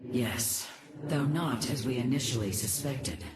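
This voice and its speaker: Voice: mid-range voice, unhurried, reverberant, a crowd in the background, close to an everyday voice. An actor playing a grave, loyal alien warrior commander in a video game, formal and composed. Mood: grave, reflective. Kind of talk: dramatic video game voice acting.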